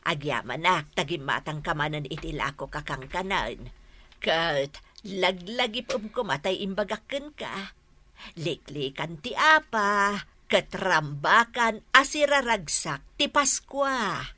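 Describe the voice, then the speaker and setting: A woman's voice: fairly low pitch, animated, slow pace, pushed and bright, heavily acted. An actress with an Ilocano accent playing a motherly, well-meaning elder in a radio drama, coaxing and admonishing. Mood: gentle, coaxing. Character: motherly, well-meaning elder woman urging feuding neighbours to reconcile. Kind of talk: Philippine radio drama acting.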